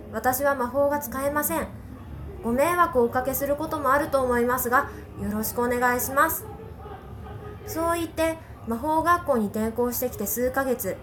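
A high, girlish voice from a woman acting as an earnest transfer student in an amateur audio drama. She speaks politely and with friendly cheer. Clear background noise runs through the homemade recording. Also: fairly bright tone.

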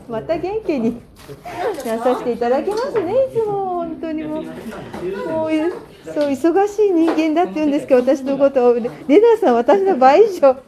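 A woman hosting a casual show, chatting effusively and politely in a rambling, unscripted way. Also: high voice. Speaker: host of an audio app show; visual artist and designer. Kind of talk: casual unscripted chat with guests and announcements. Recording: crowd chatter behind, live on the spot.

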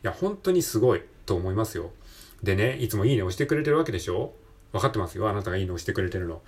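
Male podcast host, rough-edged voice, lively swooping intonation, casual motivational delivery that keeps seeking agreement. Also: fairly low pitch, rapid-fire. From Japan. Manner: encouraging, conversational, repeatedly seeking agreement. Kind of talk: casual solo motivational talk.

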